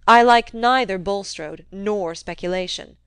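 Resentful young gentleman, stiff and proper, dry close sound, read by a woman. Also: mid-range voice, animated, brisk, no room sound. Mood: sulky. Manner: stiffly polite, subdued. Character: sulky polite young gentleman.

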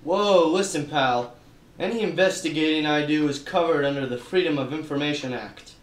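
A man's voice: on the high side, normal speed. Wisecracking detective man, echoey room. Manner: wisecracking, hard-boiled narration. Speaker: detective narrator. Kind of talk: church radio play acting.

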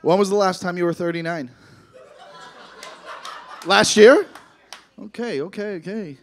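A man's voice: sits high, unhurried, soft background music. A man in his late thirties doing stand-up crowd work into a handheld mic on a club stage, brash, profane and teasing. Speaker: stand-up comedian. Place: comedy club stage before a seated audience. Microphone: handheld microphone.

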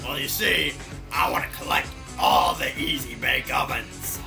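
A man's voice: medium pitch, very animated, measured pace, gravelly, over music. A man voicing a goofy, offhand, scheming cartoon villain with a drawling delivery in a comedic fan dub. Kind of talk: comedic fan dub of video game cutscenes.

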